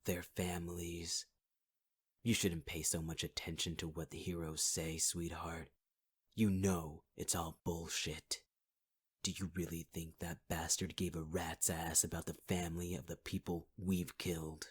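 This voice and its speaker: A man voicing a scarred, possessive fire-wielding villain in an ASMR roleplay, taunting in a low half-whisper. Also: little pitch movement, unhurried, breathy edge, dry recording. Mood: scornful.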